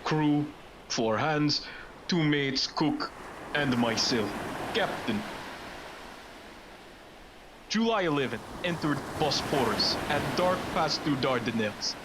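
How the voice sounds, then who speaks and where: Solemn male sea captain, radio drama, very slow delivery, terse halting log entries, dutiful, noisy ocean ambience. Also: on the high side, animated. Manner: clipped, halting phrases. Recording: noisy surf and ship ambience behind.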